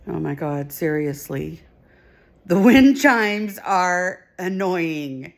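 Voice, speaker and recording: A woman talks in a slow, unhurried way with a low, gravelly edge to her voice. She speaks softly in a casual home vlog, filmed at home on a phone held close. Her speech stays quiet and relaxed throughout. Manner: soft, low-volume talk that others struggle to hear. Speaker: mother filming a family vlog. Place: at home, partly in bed.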